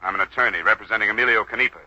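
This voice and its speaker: An actor in a 1940s radio drama playing a brash, wisecracking, hot-headed young attorney. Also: male voice, on the low side, racing, pushed and bright. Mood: combative. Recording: dull 1940s radio recording.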